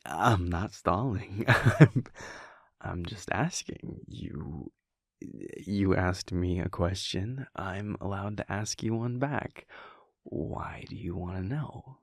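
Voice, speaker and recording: A man playing an affectionate, bashful vampire prince boyfriend in an intimate roleplay audio, breathy and husky, with a halting, softly teasing manner. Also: low-pitched, no echo, studio quality, heavily acted. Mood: flustered, amused.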